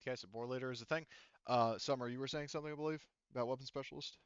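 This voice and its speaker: A man's voice: medium pitch, fairly even intonation, racing, smooth, no echo, highs cut off. A man talking casually and informally, with some swearing, as game master of a tabletop roleplaying game.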